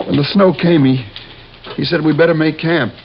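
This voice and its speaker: An actor playing a grieving, exhausted young widower who halts and repeats words, in old-time radio drama style. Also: male voice, fairly high pitch, fairly even intonation, normal speed, lightly acted. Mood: shaken. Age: young adult. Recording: dull, hissy old radio recording.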